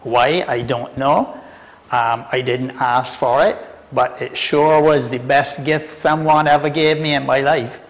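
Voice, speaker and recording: An older Barbadian man tells his story at an unhurried, easy pace, his voice sitting in the middle of the range. A long reverb trails his words, as if he were recorded in a hall. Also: medium pitch, steady tone. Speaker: tenor guitarist. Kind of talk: personal storytelling to camera.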